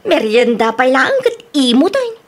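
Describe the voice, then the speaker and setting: A woman's voice: high-pitched, very animated, unhurried, a little hiss or hum. A woman playing a respectful but plaintive female farm labourer in a radio comedy drama. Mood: grumbling.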